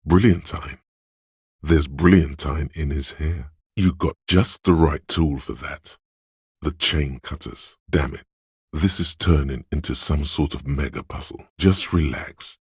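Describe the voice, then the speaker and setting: A man voicing a cool, sly, goading inner voice in a video game, narrating in the second person with deliberate, savored words. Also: dry recording, studio quality, full character voice. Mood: coaxing, calm. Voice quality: very deep, gravelly, husky.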